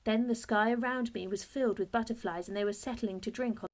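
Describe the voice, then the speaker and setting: High-voiced woman reading a storybook. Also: brisk. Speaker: teacher reading a story aloud. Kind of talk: expressive storybook narration.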